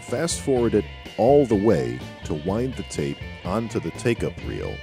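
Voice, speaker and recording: A man's voice: on the low side, very animated, unhurried, creaky, loud music behind the voice. A man presenting an instructional video in scripted narration.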